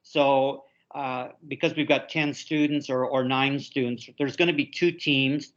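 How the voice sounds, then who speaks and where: Through slightly dull conference-call audio, a man of medium pitch gives students practical instructions. He speaks informally as an instructor, going step by step and haltingly repeating words as he goes. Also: steady tone. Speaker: university instructor.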